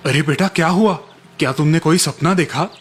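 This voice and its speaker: A man voicing a kind, honest village father in an animated moral tale, earnest and polite. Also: fairly high pitch, moderate pace, breathy edge. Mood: kindly.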